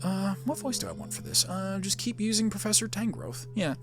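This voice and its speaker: A man with a fairly high, very animated voice talks through a game in a casual, rambling way. He thinks out loud as he goes, with clear music playing behind him. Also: brisk. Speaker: gaming video host and amateur voice actor. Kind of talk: casual gameplay commentary with read-aloud game text.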